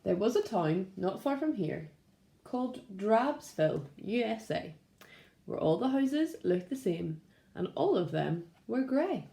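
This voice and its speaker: A woman's voice: medium pitch, very animated, a little slow, creaky, dark tone. A woman speaking expressively to young children like a primary teacher, in a storybook read-aloud style. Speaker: primary school teacher reading to class. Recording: roomy, echoing room sound.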